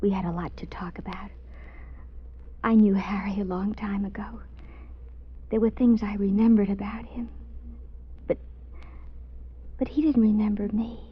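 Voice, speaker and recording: A breathy, frightened radio drama heroine. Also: female voice, medium pitch, fairly even intonation, unhurried, pauses often. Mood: shaken. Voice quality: breathy, husky. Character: frightened but quick-witted woman held at gunpoint. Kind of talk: 1950s radio drama acting. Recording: muffled old radio recording.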